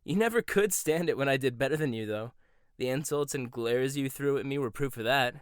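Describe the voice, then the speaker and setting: A man's voice: mid-range voice, a little fast, clear, resonant tone, no room sound, clean studio sound, close to an everyday voice. A man playing a proud, combative young head chef in an audio roleplay, talking in a sarcastic, confrontational way. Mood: mocking. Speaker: voice actor.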